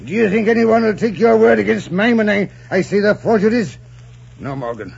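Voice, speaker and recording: An actor playing an imperious, scheming colonial governor, pompous and stammering, in 1940s radio drama style. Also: male voice, high voice, expressive, brisk, creaky, a little mellow, slightly rough, full character voice. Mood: vindictive. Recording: muffled 1940s radio recording.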